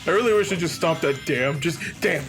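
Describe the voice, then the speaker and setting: A man's voice: fairly high pitch, brisk, over music. A man doing casual, joking let's play commentary on a video game with friends.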